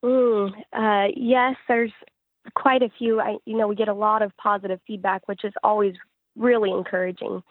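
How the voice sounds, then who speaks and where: Woman in a muffled, even-toned interview. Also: medium pitch, normal speed, no room sound. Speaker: singer-songwriter being interviewed. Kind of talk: conversational interview answers.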